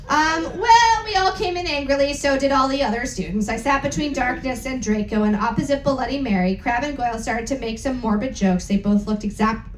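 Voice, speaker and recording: A tipsy woman giving a theatrical, exaggerated comic reading to a live audience, breaking off for joking asides. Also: high-pitched. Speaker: performer, reader. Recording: echoey live room, faint noise.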